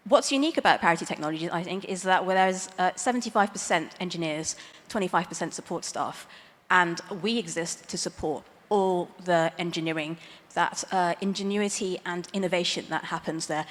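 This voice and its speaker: A middle-aged woman lawyer giving a chatty, self-deprecating conference talk from a stage, with small laughs and fillers. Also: fairly low pitch. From Dutch, Hong Kong, Chinese background; lives in the UK. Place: conference stage in a large hall. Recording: reverberant conference hall.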